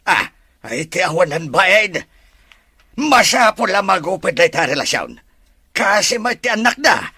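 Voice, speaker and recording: A man playing an animated, meddlesome father with a rough voice in a family melodrama. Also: high voice, a little slow, no echo, studio quality, exaggerated voice. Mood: concerned. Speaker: actor. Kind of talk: melodrama dialogue.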